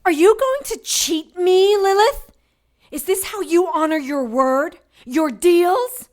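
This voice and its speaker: A woman playing a sharp, rational young witch who scolds and argues. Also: very high-pitched, animated, takes it slowly, full character voice. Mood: indignant. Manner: clipped, indignant, scolding. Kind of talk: audio drama acting.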